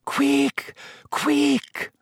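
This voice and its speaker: An anxious storybook schoolgirl, voiced by a male narrator, high and urgent. She sounds worried, with pitch that jumps about. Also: lively intonation, normal speed, breathy edge, no echo. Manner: hushed, worried. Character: anxious, urgent teenage girl in hiding.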